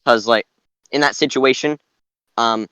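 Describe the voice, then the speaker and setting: A man's voice: medium pitch, unhurried, a bit bright, smooth, no echo. A man chatting casually as a podcast host, posing questions to a group and often repeating his phrases. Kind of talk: casual group chat, posing would-you-rather questions.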